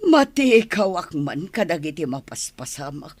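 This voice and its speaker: A woman's voice: on the low side, sing-song, moderate pace, a little mellow, dry recording. A woman with an Ilocano Filipino accent playing a blunt, quarrelsome village neighbour in a radio drama. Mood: blunt, dismissive.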